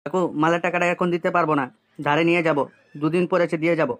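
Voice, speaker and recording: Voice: mid-range voice, fairly even intonation, racing, lightly acted. A man voicing a brash, foul-mouthed young troublemaker in a comic cartoon, cocky and coarse. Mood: wheedling.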